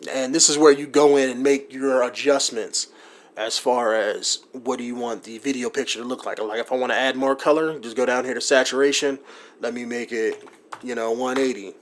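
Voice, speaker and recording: A man's voice: mid-range voice, fairly even intonation, moderate pace. A man talking through his video-editing setup in a casual, rambling way, with lots of ums, likes and you-knows. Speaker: gaming YouTuber explaining his editing setup. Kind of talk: casual screen-recorded tutorial. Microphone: USB desktop mic.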